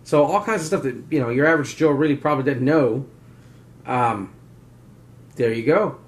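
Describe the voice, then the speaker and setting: A man's voice: mid-range voice, moderate pace. A man hosting a preparedness video, explaining in a casual, unscripted, folksy way, rambling and often checking in with "right?". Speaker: survival and preparedness video host.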